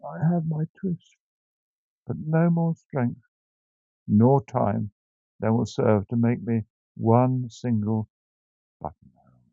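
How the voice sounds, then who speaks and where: A man's voice: medium pitch, slow pace, vocal fry, long pauses, dry recording, narrow, tinny audio. A man voicing a poor, worn-out old tailor who laments wearily. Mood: anxious. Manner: weary lament.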